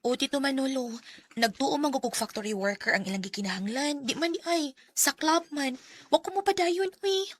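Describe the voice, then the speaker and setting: A woman's voice: sits high, normal speed, no room sound, close to an everyday voice. An actress playing an earnest, humble young working woman in a radio drama, polite and plaintive, with a Visayan Filipino accent. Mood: dejected. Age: young adult.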